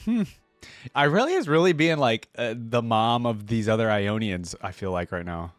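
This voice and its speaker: A man hosting a reaction video, giving casual, rambling gaming commentary as he thinks out loud. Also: mid-range voice, expressive, moderate pace, no echo, faint music behind.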